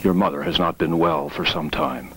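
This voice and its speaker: A man playing a grave senior Buddhist priest, solemn and measured, in an animated film dub. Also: low voice, gravelly, poor audio. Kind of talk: dubbed animated film acting. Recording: faint hum and static hiss.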